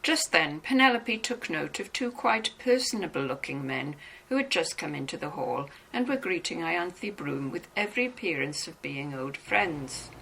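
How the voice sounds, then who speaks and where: A woman's voice: deep voice, expressive, moderate pace, gravelly, hardly any pauses, pushed and bright, slight background noise, low-quality recording. A woman narrating a novel as a scripted, dramatized reading.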